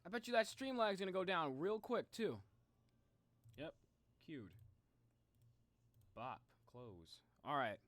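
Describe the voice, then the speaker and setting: A man's voice: fairly high pitch, very animated, slow, pauses often, fairly bright tone, no echo. A man doing casual, rambling livestream commentary as he reacts to game cutscenes in an informal way.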